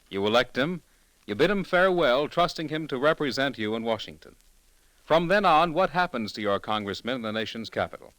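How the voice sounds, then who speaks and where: A man announcing for a 1940s radio network, reading a script in a formal, polished and measured way. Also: mid-range voice, fairly bright tone, no room sound, low-quality recording. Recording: dry vintage radio broadcast, faint music.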